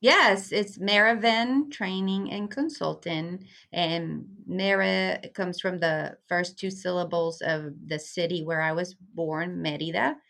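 A woman's voice: lowish voice, slow pace, gravelly, few pauses, a bit bright. A woman from Venezuela, a community liaison, speaking earnestly and reflectively as a guest in an interview. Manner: earnest, reflective, with frequent fillers. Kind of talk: conversational interview.

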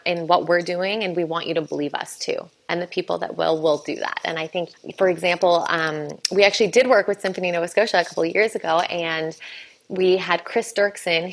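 Woman talking about her arts festival, lowish voice, lots of restarts and um's. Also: a bit bright. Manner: earnest, many restarts and fillers. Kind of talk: conversational interview answer.

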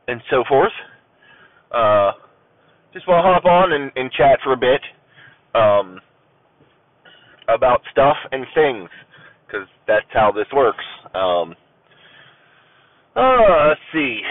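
A man's voice: mid-range voice, no room sound. Stuffy-voiced rambling male podcast host. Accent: Ohio. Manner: rambling, many ums and uhs, self-deprecating. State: stuffy, maybe a cold, clearing throat. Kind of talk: casual solo podcast chat. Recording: tinny phone-like audio.

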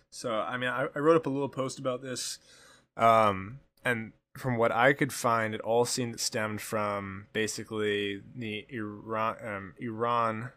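A man with a lowish but bright, forward voice co-hosts a casual podcast. He rambles, drifts off mid-sentence and picks the thread back up. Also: no room sound. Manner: rambling, trails off and restarts. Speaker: podcast co-host.

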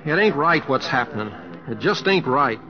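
An actor playing a folksy, wry, kindly American humorist with a homespun drawl, in an old-time radio drama. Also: male voice, medium pitch, expressive, moderate pace, over music, natural voice. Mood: troubled. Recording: muffled old radio recording.

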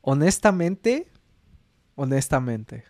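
A young Mexican man who co-hosts a podcast and speaks in a Mexican accent, telling stories in a relaxed way and acting out the people he quotes. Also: mid-range voice, takes it slowly, dry recording. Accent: Mexican Spanish. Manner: chatty storyteller, acts out quoted voices, says "mano" a lot. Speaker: podcast co-host. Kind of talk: casual chat.

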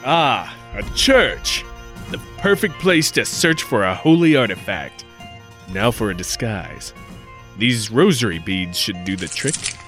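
Forties radio-serial treasure hunter, male actor: wisecracking, brash, very animated intonation, light music underneath. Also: on the low side, a little slow. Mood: scheming. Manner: old-time slangy wisecracking. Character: brash, bluffing 1940s artifact-hunting adventurer man. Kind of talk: 1940s radio acting.

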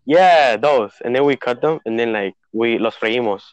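A man's voice: medium pitch, normal speed, rough recording. Rambling young man from Honduras. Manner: rambling, many fillers, mixes in Spanish words. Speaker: podcast co-host. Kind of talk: casual chat.

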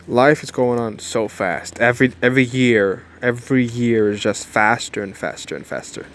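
A man's voice: mid-range voice, slight background noise. A nineteen-year-old man vlogging casually and rambling through a repetitive motivational pep-talk outdoors. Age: young adult. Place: outdoors in the cold.

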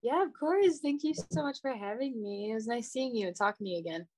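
A woman's voice: on the high side, brisk, darkish tone. A young woman from Illinois, a podcast guest, chatting in a giggly, bubbly, gushing way. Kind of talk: casual chat.

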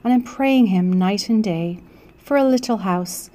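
A woman's voice: mid-range voice, unhurried, mellow. A woman, a teacher reading poetry aloud.

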